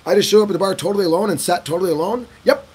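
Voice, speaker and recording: A man casually answering viewer questions in a vlog, telling stories in an animated way and acting out other people's lines. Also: very fast, slightly rough. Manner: animated storyteller who acts out locals' lines in a higher voice. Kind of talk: casual talking-head Q&A.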